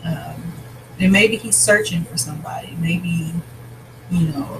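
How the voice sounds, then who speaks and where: Slow, raspy voice thinking aloud. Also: medium pitch. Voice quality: raspy, scratchy voice. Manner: thinks aloud, rambling with many pauses and restarts. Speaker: novelist outlining their book. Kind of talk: casual planning vlog.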